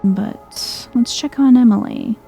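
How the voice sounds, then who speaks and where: A soft-spoken woman giving a chill, quiet ASMR-style commentary as she plays a video game. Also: fairly high pitch, slow pace, vocal fry, faint music behind. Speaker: gaming video host.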